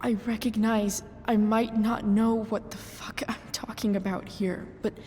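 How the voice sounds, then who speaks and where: Woman acting an earnest, slow-spoken teenage girl, echoing. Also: a little high, level tone, warm tone, slight background noise, lightly acted. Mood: earnest pleading. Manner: halting, sincere, sometimes profane. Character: earnest, grieving, loyal teenage girl, blunt and pleading. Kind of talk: dramatic video game cutscene acting.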